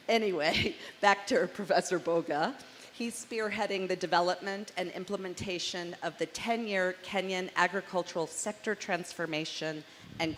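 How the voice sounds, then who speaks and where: A woman moderating a conference panel, introducing guests from a script and adding chatty jokes in a loud, carrying voice. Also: lowish voice. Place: large conference hall. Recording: hall reverb, faint room noise.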